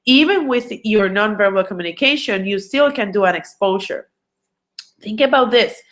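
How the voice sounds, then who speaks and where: A woman's voice: mid-range voice, lively intonation, normal speed. Earnest Puerto Rican woman coaching. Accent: Puerto Rican Spanish. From Puerto Rico. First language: Spanish. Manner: earnest, many fillers and restarts. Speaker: recruiting coach. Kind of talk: online training presentation.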